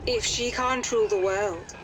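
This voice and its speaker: A woman's voice: mid-range voice, measured pace, noisy background, lightly acted. Woman as composed, grave lady commander. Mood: urgent, grave. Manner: formal, earnest briefing. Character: composed, grave aristocratic lady leading adventurers. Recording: music behind.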